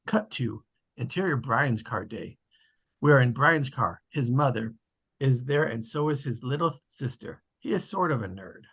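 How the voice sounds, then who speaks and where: A man with a slightly husky voice, casually hosting an online table read and narrating the stage directions. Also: medium pitch, animated, moderate pace, fairly bright tone. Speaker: host and stage-direction reader. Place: online video call.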